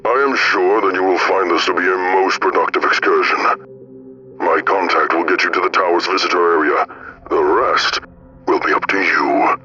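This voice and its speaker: A man playing a shadowy informant in an audio drama, speaking formally and deliberately in measured, cryptic phrases. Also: sits low, fairly even intonation, sharp tone, dull audio.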